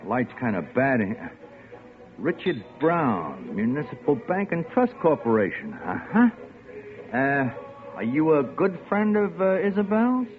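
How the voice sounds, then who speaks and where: A wry bartender who drawls with a slightly high, lively voice. The sound is thin and tinny, with faint music behind. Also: male voice, measured pace. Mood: sly. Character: wry, curious male bartender. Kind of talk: 1940s radio acting. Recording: tinny old radio broadcast with music.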